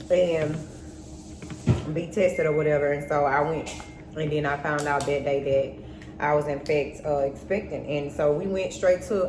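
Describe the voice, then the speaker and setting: A middle-aged woman telling a personal story casually and candidly, acting out remembered conversations as she goes. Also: deep voice. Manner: candid, acts out remembered dialogue. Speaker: mother co-hosting a storytime vlog with her daughter. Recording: roomy echo, faint background noise.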